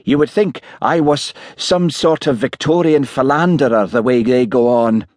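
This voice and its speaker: A man voicing a gloomy, huffy village policeman with a sibilant Scottish Highland accent in an audiobook. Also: moderate pace, darkish tone, no echo, studio quality, lightly acted. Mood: aggrieved. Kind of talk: audiobook character voice.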